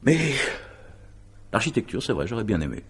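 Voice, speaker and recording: An actor playing a courteous, sceptical businessman in a French radio drama, speaking haltingly and with polite disbelief. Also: fairly low pitch, big pitch swings, breathy edge, exaggerated voice. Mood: hesitant. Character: sceptical, impulsive businessman, married, courteous, flustered. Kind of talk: 1950s-style French radio drama acting.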